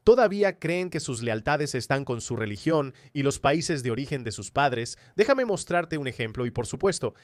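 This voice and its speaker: A man's voice: medium pitch, dry recording, clean studio sound. A man presenting a scripted political commentary monologue in a polemical, sardonic manner. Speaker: commentator and host of opinion video.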